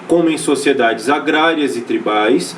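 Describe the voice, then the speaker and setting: A man's voice: medium pitch, unhurried, recorded from a distance, a little hiss or hum. Brazilian male teacher, casual lecturing. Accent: Brazilian Portuguese. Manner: casual, many fillers and restarts, checks in with students. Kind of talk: recorded online class lecture.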